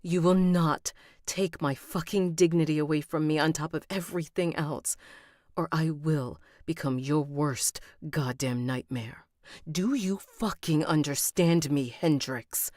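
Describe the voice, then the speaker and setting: A woman's voice: deep voice, a little slow, a little mellow, no room sound, pitched above the performer's own voice. A woman voicing a furious young heroine held captive, who swears and is close to tears with anger. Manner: seething, swearing, near tears. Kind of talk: rehearsing an audiobook reading on a livestream.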